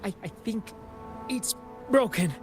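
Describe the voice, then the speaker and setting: An actress playing an injured woman survivor in a video game, halting and in pain. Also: mid-range voice, darkish tone, soft background music, lightly acted. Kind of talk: dramatic video game voice acting.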